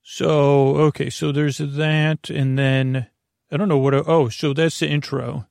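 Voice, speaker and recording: A man's voice: a little high, little pitch movement, takes it slowly, a little mellow, no echo, studio quality. A man hosting a bedtime podcast in a meandering, hesitant, self-deprecating monologue that keeps drifting off on digressions. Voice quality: creaky, gravelly. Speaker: podcast host. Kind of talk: rambling bedtime monologue to listeners.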